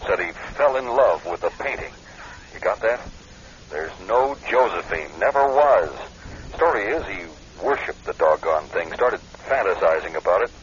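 A man's voice: lowish voice, animated, normal speed, slight background noise, natural voice. An American man playing a blunt, protective friend in a radio drama, urgent and colloquial in his talk. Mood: blunt, insistent. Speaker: actor in a radio play. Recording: dull lo-fi radio recording.

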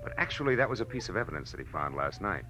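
Deep-voiced hard-boiled American private eye. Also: male voice, very animated, racing, sharp tone, slight background noise, heavily acted, poor audio. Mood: wry, explaining. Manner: terse, wry, slangy. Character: tough, hard-boiled male private detective narrating his case. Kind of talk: 1940s radio detective drama acting and narration.

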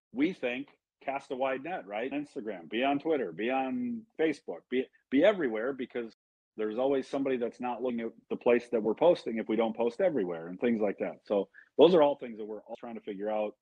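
A man from Illinois co-hosting an interview, talking in a thoughtful, halting way. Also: fairly low pitch, darkish tone, slightly rough, no room sound. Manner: thoughtful, halting, restarts often. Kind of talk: casual interview talk. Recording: dry dull lo-fi sound.

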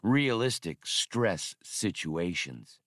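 A man's voice: deep voice, very animated, slow, fairly bright tone, dry recording, studio quality, heavily acted. A man voicing a seasoned, easygoing ex-officer in a space adventure audiobook, spinning yarns with wry mildness. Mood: wry, reminiscing. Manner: wry, mild storytelling. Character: seasoned, easygoing male ex-officer telling old war stories.

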